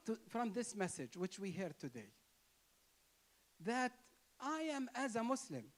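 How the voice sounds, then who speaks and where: A man with a Palestinian Arabic accent speaks to an interfaith gathering in emphatic, impassioned English. He repeats phrases for force and lifts his voice to stress key words, his Arabic native tongue plain in the sound of his English. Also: sits high. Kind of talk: impassioned speech.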